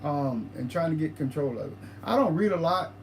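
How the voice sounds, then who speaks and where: Man co-host, livestream chat, slightly higher voice, stammering restarts, faint hiss behind. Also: expressive. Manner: halting, repeats words and restarts a lot.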